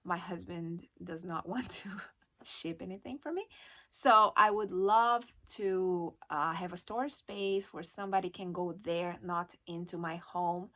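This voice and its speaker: A woman's voice: fairly low pitch, unhurried, fairly bright tone. A woman from Brazil with a Brazilian Portuguese accent, chatting casually to camera as an online reseller, with many fillers and restarts. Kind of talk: casual vlog talk to camera.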